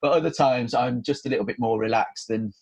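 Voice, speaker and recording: A man's voice: mid-range voice, a little fast, creaky, a little mellow. A man from Birmingham with a Brummie accent, co-hosting a podcast in a chatty, laid-back way with plenty of fillers. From Birmingham, England. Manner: chatty, laughs easily, hesitant with fillers. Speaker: podcast co-host. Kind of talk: casual podcast chat.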